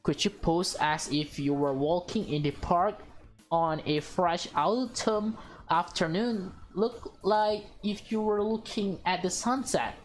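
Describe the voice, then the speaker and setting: A woman's voice: fairly low pitch, slow pace, soft background music. A woman voicing a bossy, vain young woman in a visual novel, with a demanding, teasing and theatrical manner.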